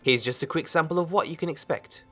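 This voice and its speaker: A man's voice: on the high side, quick pace, a bit bright. A man hosting a game mod review, reading scripted narration in an orderly presenter's manner. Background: music.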